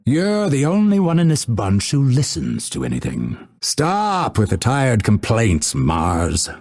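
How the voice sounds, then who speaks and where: An actor playing a proud, scholarly male assassin in a video game, speaking in a measured, formal, dryly witty and haughty way with a husky voice. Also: mid-range voice, huge pitch swings, a little mellow, full character voice. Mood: cool, dry. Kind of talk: video game character voice lines.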